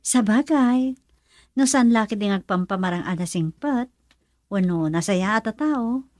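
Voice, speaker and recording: An actress with a northern Philippine accent playing a frank, supportive young best friend in a radio drama, animated and questioning. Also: female voice, medium pitch, unhurried, no room sound, clean studio sound, close to an everyday voice. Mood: frank, curious. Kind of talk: Ilocano radio drama acting.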